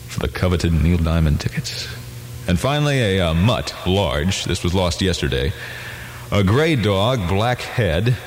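A man's voice: fairly low pitch, slight background noise. A man working as a radio DJ, reading listings informally with wry asides. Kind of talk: reading listener classifieds on music radio. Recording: 1970s radio broadcast, long reverb, music underneath. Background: music bed.

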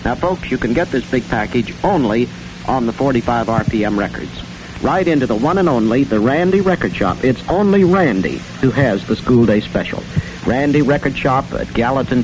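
An American man working as a radio DJ, pitching mail-order records in folksy, colloquial 1960s patter. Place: radio studio. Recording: noisy 1960 AM radio aircheck.

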